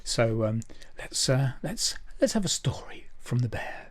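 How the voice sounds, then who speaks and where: Man, event host, informal announcing, mid-pitched voice, chatty, hesitant, rambling, dry sound. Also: very animated, a little slow, no room sound.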